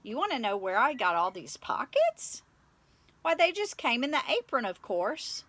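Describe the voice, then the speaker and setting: A woman's voice: on the high side, big pitch swings, moderate pace, a bit bright, no room sound. A woman voicing a friendly, generous workman with an obliging manner for a children's storybook. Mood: surprised.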